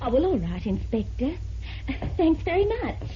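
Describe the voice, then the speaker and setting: A nervous young woman, deferential and hesitant, her high voice swinging widely in pitch. The old radio recording sounds muffled. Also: big pitch swings, normal speed, warm tone, a little hiss or hum. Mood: nervous, polite. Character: nervous, polite young woman reporting to police. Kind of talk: vintage radio drama acting.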